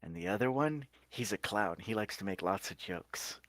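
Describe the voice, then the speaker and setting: Man as low-voiced, reassuring friendly sorcerer. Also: lively intonation, normal speed, dry recording. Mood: reassuring, playful. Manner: gentle, simple explaining as if to a child. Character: friendly carpenter sorcerer, reassuring and playful with children.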